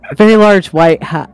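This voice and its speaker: A man playing a tabletop roleplaying game, improvising third-person storytelling in halting, deliberate phrases. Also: high voice, clean tone.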